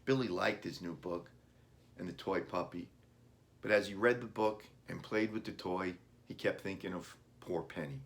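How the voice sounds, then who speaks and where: A man's voice: low-pitched, normal speed, vocal fry. A man reading a children's storybook aloud as its author, in scripted narration. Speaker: author reading own book.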